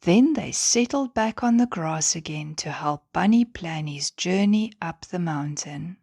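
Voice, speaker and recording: A woman narrating a children's bedtime story in a gentle, expressive manner. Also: on the low side, measured pace, vocal fry, husky, no room sound, studio quality.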